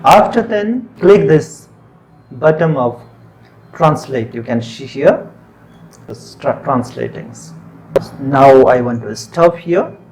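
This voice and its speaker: Nepali-accented man explaining software steps. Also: medium pitch, lively intonation, a little hiss or hum, rough recording. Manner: plain step-by-step instructions, unhurried. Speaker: tutorial presenter. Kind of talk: screen-recorded software tutorial.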